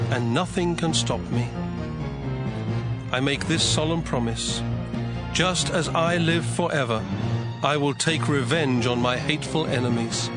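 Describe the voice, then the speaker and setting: A man voicing a wrathful, jealous God pronouncing judgement in a solemn, commanding way for a dramatized scripture reading. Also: a little high. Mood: stern, vengeful. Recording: music bed behind the voice.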